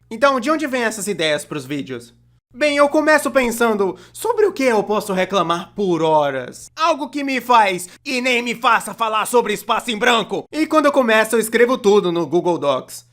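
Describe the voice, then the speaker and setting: A Brazilian performer dubbing a young cartoonist who narrates an animated comedy video in a conversational, self-deprecating, complaining way. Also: mid-range voice. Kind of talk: scripted comedic storytime narration, fan dub.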